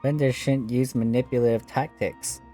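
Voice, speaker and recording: A woman's voice: rumbling low, steady tone, normal speed, warm tone, soft background music. A woman giving casual gameplay commentary in brief, wry, offhand reactions. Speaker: gaming video maker.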